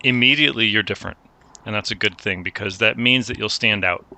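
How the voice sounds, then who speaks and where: Low gravelly bright-toned man advising. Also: brisk. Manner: relaxed, repeats and restarts phrases, coaching tone. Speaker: host giving career advice. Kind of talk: conversational mentoring chat.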